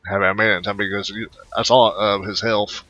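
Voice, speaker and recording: Halting man with a low, bright voice. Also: little pitch movement, no room sound, faint music behind. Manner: halting, rambling. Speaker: gamer recording a playthrough. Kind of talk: casual game commentary. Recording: dull, close dry microphone.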